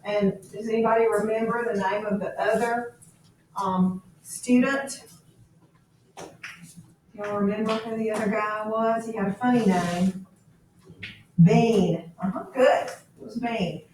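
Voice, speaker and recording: A woman's voice: mid-range voice, slight background noise. A woman teacher reads aloud to a class and checks understanding with questions. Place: echoey indoor room. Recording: very echoey room, distant mic.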